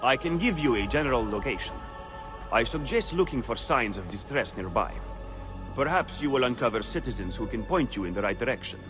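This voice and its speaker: An actor playing a composed, scheming political adviser in a game drama. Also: male voice, medium pitch, animated, fairly quick, over music, natural voice. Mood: businesslike. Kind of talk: dramatic video game acting.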